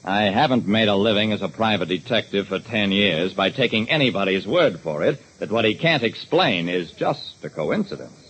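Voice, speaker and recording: A man's voice: on the low side, expressive, moderate pace, hardly any pauses, fairly bright tone, rough recording. An actor playing a terse, observant private detective, narrating his casebook in a clipped, dramatic 1940s radio style on a hissy old recording. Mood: terse, determined. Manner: dramatic, clipped hard-boiled storytelling.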